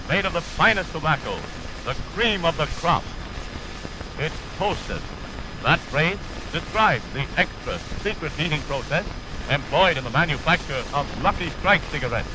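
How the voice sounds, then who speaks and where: An American man announcing on 1930s radio in a formal, polished advertising style, on a scratchy old broadcast recording. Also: fairly high pitch. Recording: noisy, scratchy 1930 radio aircheck.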